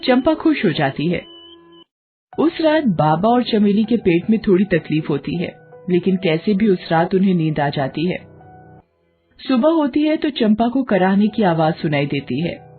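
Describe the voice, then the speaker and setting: A woman narrating a scripted cartoon storybook in an even, clear storytelling voice. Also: medium pitch, a little slow, phone-quality sound, soft background music.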